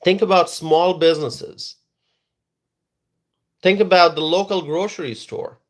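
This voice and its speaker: Man, Bengali first language, somewhat high voice, emphatic opinion monologue, repeats words for stress. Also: steady tone, a little slow. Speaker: political commentator.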